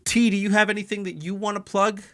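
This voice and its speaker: A man's voice: high-pitched, little pitch movement, quick pace, bright, forceful tone. A man hosting a comedy podcast with casual, sarcastic, profane banter. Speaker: podcast host.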